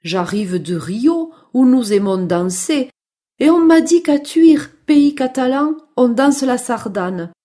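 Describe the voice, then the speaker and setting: A chatty, friendly storybook parrot, voiced by a woman. He squawks his hellos and talks in an unhurried way, his pitch bouncing up and down. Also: expressive, dark tone, full character voice. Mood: chatty, enthusiastic. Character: friendly male parrot from Rio, squawking, fond of dancing.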